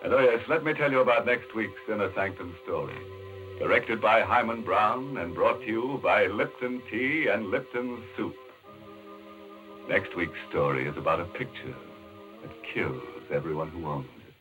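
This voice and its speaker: Male horror host, low-pitched and very animated, mock-ghoulish and jokey, muffled vintage radio with faint music. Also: normal speed. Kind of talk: macabre, jokey 1940s radio hosting.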